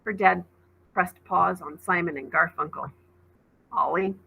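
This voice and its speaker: A woman reading a children's novel aloud as a teacher, in expressive storybook narration. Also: on the low side, moderate pace, creaky, breathy edge, narrow, tinny audio.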